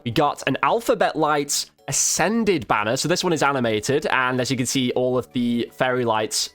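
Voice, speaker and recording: A man's voice: medium pitch, a bit bright. A man giving casual, informal game review commentary as a video host, chatting directly to his viewers.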